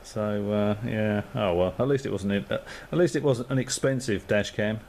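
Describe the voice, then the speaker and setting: A man's voice: fairly low pitch, moderate pace, darkish tone, slight background noise. Easygoing Australian man on a ham radio. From Perth, Western Australia. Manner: easygoing, rambling, many fillers, signs off with call signs. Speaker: amateur radio operator. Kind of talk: casual radio chat. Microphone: radio transceiver microphone.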